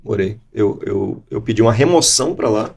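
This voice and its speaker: A man's voice: fairly low pitch, animated, normal speed, gravelly, darkish tone, husky. A Brazilian man from Bahia, in his forties, recounting his career in a conversational interview, with an accent mixing Bahian and Gaúcho speech and frequent restarts. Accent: mixed Bahian and Gaúcho Brazilian Portuguese. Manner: reflective storytelling with frequent restarts. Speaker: interview guest, law professor.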